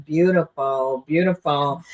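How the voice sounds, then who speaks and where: A woman's voice: low-pitched, slow, dull audio. A woman hosting a casual livestream interview in an affirming, enthusiastic manner. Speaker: talk show host.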